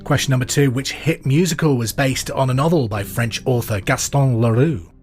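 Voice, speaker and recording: A man's voice: mid-range voice, moderate pace, a little mellow. Male quiz host over music. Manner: clear question-and-answer delivery, repeating questions. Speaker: pub quiz podcast host. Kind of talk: scripted quiz reading with casual asides. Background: music under the voice.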